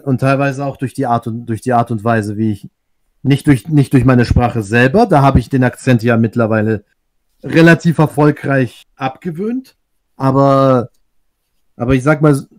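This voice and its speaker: A man's voice: medium pitch, a little slow, a little mellow. A man from Russia talking in a casual online group chat, thoughtful and often restarting his sentences. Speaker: discussion guest.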